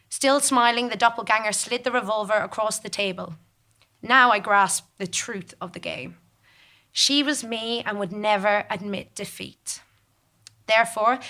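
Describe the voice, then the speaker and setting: A woman with a bright, forceful voice at an average pitch tells a story in the first person. Her delivery is deliberate and formal, pitched as dramatic stage narration. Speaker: monologue performer. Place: theatre stage.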